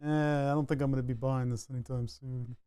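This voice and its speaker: A man's voice: mid-range voice, fairly even intonation, a little fast, dark tone, clear, resonant tone, no room sound, studio quality. A man streaming live, chatting casually while he designs a circuit board, thinking aloud and often restarting his sentences. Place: home computer desk.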